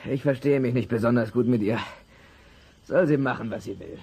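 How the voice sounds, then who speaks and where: A man playing a gruff, resigned middle-aged father in a German audio drama, terse and indifferent, a little distant. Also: mid-range voice, moderate pace, darkish tone, a little hiss or hum, lightly acted.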